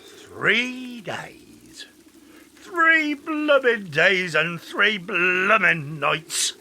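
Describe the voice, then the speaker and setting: An actor playing a weary, slow-witted, grumbling farmer in an audiobook drama, with a creaky, drawn-out delivery. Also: male voice, high voice, big pitch swings, sharp tone, slight background noise, exaggerated voice. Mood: fed up. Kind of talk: audiobook radio-play acting.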